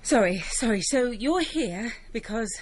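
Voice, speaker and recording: A woman's voice: fairly high pitch, expressive, a little slow, a little hiss or hum. An actress playing a strained, distracted mother, hesitant and apologetic, in a naturalistic radio drama. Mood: anxious, hurt.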